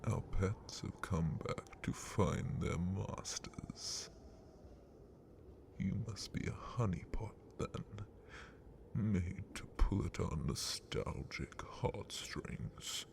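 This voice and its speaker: A very slow, gravelly male dragon captain for an ASMR roleplay. He half-whispers with haughty contempt and sadistic menace, drawing out each phrase theatrically. The breath is close and rough. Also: low-pitched, lively intonation, heavily acted. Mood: mocking, suspicious.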